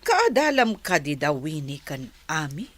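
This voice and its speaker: A woman's voice: low-pitched, very animated, measured pace, a bit bright, soft background music, full character voice. A woman playing an indignant, proud mother of a poor farming family in a radio drama. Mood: indignant, worried.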